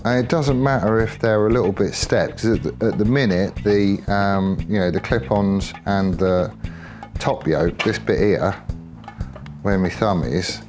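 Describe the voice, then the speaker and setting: An English man with a fairly low voice talks through a hands-on bike build. He is chatty and colloquial, working out his ideas aloud as he goes, with music playing behind him. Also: a little slow. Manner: thinks out loud, chatty and colloquial. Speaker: motorcycle builder presenting a workshop project. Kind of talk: casual off-the-cuff workshop commentary.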